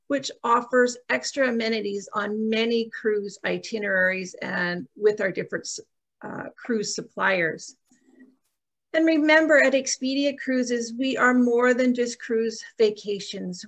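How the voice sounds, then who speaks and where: A woman's voice: fairly high pitch, a bit bright. Woman host reading a promo. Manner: reads promotional lines, brief hesitations. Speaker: travel agency host. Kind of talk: scripted introduction.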